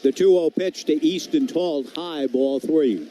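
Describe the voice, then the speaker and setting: Dark-toned man calling baseball on radio. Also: on the high side, a little slow, poor audio. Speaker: baseball play-by-play announcer. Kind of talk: live radio sports commentary.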